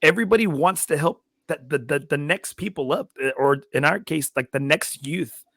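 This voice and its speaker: A man's voice: a little high, normal speed, vocal fry, a bit bright, dry recording, studio quality. A man in his forties, a guest and coach, talking bluntly and casually with some swearing. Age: 40s. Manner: blunt, unfiltered, swears freely. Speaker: podcast guest. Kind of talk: casual chat.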